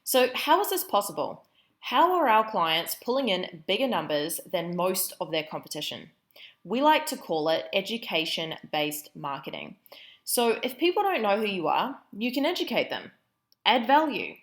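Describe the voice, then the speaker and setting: A woman's voice: mid-range voice, sing-song, moderate pace, fairly bright tone. Upbeat New Zealand woman coach. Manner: upbeat, enthusiastic, many fillers. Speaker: business coach. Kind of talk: webinar presentation.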